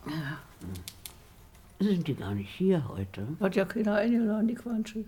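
A woman's voice: mid-range voice, very animated, measured pace, warm tone, slightly rough. An actress playing a blunt, scornful, long-established village woman in a naturalistic radio play. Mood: disdainful.

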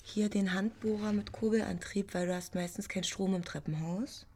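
An actress playing a brisk, practical woman in a naturalistic radio drama, talking in a colloquial, matter-of-fact way. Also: medium pitch, steady tone, darkish tone, slightly rough. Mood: brisk, casual.

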